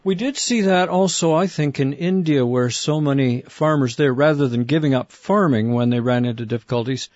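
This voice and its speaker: A man's voice: mid-range voice, moderate pace, few pauses, a little mellow, dry recording, dull audio. A man hosting an interview, talking in a conversational, questioning way. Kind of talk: radio interview.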